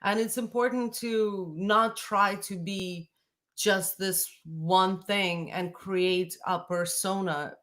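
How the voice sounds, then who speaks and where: A woman's voice: medium pitch, steady tone, takes it slowly, fairly bright tone. A young woman from Latvia whose first language is Russian, a musician guest on a video call. She talks thoughtfully and often searches for her words. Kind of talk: reflective conversation. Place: home room indoors.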